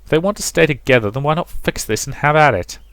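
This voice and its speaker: Man acting gentle teenage girl, thoughtful, reserved, measured, earnest, visual novel, dry recording. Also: on the high side, lively intonation, no echo, pitched above the performer's own voice.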